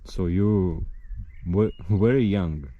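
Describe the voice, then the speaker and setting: A man's voice: low-pitched, slow, phone-quality sound. A man, hosting an interview in broken English and asking pointed questions. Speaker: interviewer and channel host. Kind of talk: casual interview.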